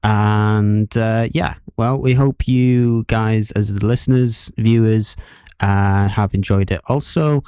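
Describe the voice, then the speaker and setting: A man's voice: lowish voice, steady tone, slow pace, dark tone, clear, resonant tone, dry recording, clean studio sound. A man co-hosting a podcast in a casual, chatty style. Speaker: podcast host.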